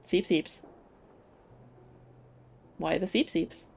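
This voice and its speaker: A woman's voice: fairly low pitch, extremely slow, creaky, background noise. A woman gaming streamer giving playful, effusive commentary and reading game text aloud. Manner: effusive, playful, cooing over characters.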